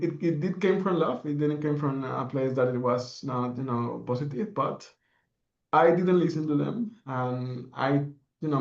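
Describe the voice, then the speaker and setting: A young man from the Dominican Republic speaks as a podcast guest, reflective and earnest and full of fillers. His voice sits at an everyday middle pitch. The sound is roomy and a little dull, as if recorded remotely. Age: young adult. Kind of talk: interview answers.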